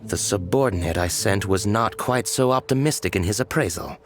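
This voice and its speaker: An actor playing a haughty, coldly menacing agent in a video game, measured and formal. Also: male voice, lowish voice, natural voice. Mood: cold menace. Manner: measured, formal, veiled threats. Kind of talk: dramatic video game acting. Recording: loud background game music.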